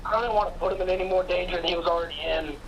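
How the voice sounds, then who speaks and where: A woman's voice: fairly low pitch, fairly even intonation, very fast, slight background noise, natural voice, rough recording. A woman playing a worried, guarded character in a radio drama. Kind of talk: scripted radio drama acting.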